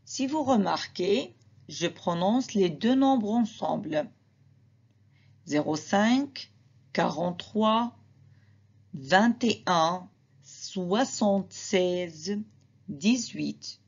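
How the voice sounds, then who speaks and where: A woman teacher slowly explaining and repeating examples to her students, talking to them directly. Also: medium pitch, animated, darkish tone, breathy edge, dull audio. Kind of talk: slow classroom explanation.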